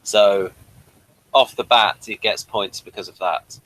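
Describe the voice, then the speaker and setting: A man's voice: on the low side, slow, fairly bright tone, poor audio. A man co-hosting a casual review chat, often stumbling and repeating words as he starts a thought. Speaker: podcast co-host.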